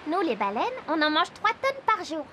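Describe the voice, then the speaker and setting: Cheerful high-pitched cartoon whale. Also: female voice, very animated, normal speed, background noise. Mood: friendly, cheerful. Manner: cheerful, explanatory. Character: friendly female blue whale, eager to explain herself. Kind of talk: children's cartoon acting.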